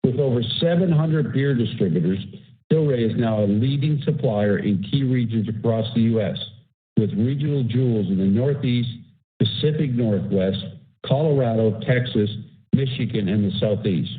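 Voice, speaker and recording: A man's voice: medium pitch, normal speed. A male executive speaks over a narrow, phone-like conference line. He reads prepared business remarks in a formal, scripted way, as if presenting results to investors. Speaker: company executive. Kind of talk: scripted earnings call presentation. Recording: phone-like conference call webcast audio.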